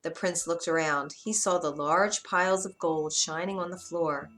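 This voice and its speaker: A woman's voice: on the low side, fairly even intonation, moderate pace, a bit bright. A woman narrating a scripted bedtime fairy tale for children, expressively and with dramatic emphasis. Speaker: children's storyteller. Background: music.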